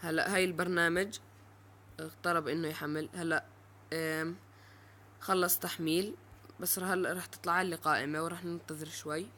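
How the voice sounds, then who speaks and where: A male presenter giving an informal, halting step-by-step app tutorial. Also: on the high side, level tone, pushed and bright, a little hiss or hum.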